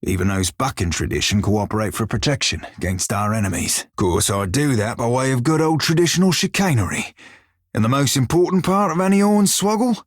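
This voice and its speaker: An actor playing a wry, crafty pirate sailor in a video game, speaking in salty, colloquial seafaring dialect with a husky, rough-edged voice. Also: male voice, mid-range voice, expressive, fairly quick, dry recording, clean studio sound, lightly acted. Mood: wry, matter-of-fact. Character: roguish male pirate sailor, wry, crafty, loyal crewmate. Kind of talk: scripted video game dialogue.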